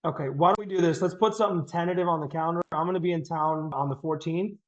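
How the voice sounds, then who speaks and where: A confident man pitching on a sales call, talking quickly and restarting his sentences as he presses his point. His voice sits a bit high, over muffled, lo-fi audio. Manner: persuasive, confident, repeats words as he starts sentences. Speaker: real estate agent and sales coach.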